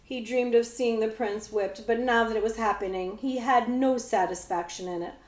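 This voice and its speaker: A woman reading a children's storybook aloud as a librarian, with expressive narration. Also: on the high side, normal speed. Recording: echoey room.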